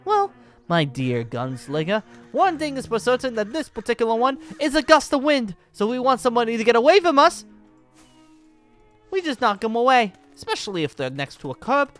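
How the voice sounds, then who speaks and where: Flamboyant, swooping dragon-slayer wizard. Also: male voice, very high voice, very animated, fairly quick, fairly bright tone, faint music behind, heavily acted. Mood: showy, explaining. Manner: theatrical, flamboyant, cackling, pompous. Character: exuberant, boastful male celestial dragon-slayer wizard, grandly genteel. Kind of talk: improvised Minecraft fantasy roleplay acting.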